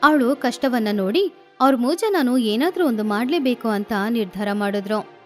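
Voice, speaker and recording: A woman narrates a scripted children's story. Her voice is high-pitched, and soft music plays behind her. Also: expressive, normal speed.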